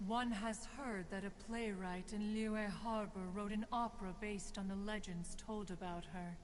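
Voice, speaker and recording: A woman's voice: mid-range voice, steady tone, measured pace, long reverb tail, natural voice. An actress voicing an ancient female crane spirit in a game, speaking in formal, archaic phrasing. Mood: lofty. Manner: formal archaic speech, refers to herself as one. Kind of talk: game character dialogue.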